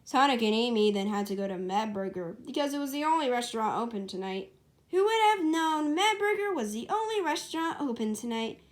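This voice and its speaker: A woman's voice: on the high side, expressive, brisk. A woman reading her own story aloud as an amateur storybook narrator, stumbling and correcting herself.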